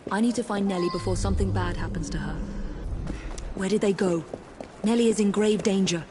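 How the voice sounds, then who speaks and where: Game lead: woman as determined Victorian investigator, medium register, measured, pensive delivery over clear music. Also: mid-range voice, natural voice. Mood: urgent. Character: determined, composed Victorian woman detective assassin. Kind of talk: dramatic game dialogue, thinking aloud.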